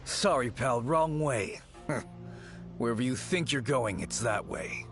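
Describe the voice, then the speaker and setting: An actor playing a shifty, cowardly bandit in an anime-style video game, with a sly and wheedling manner. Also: male voice, mid-range voice, lively intonation, slow, fairly bright tone, soft background music. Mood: smug, menacing. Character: shifty male bandit, smug then cowardly and pleading.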